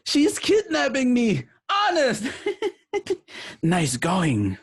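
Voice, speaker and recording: A man's voice: very high-pitched, huge pitch swings, drawn-out words, breathy edge, heavily acted. A man voicing a gleeful, scheming criminal clown with a sly, giggling manner in a home-recorded radio play. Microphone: home microphone.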